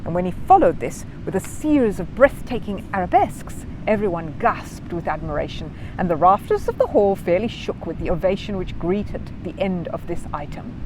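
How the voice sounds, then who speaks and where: A woman reading a children's story aloud in an expressive, animated way. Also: fairly low pitch, normal speed, hardly any pauses, noisy background. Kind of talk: expressive scripted narration.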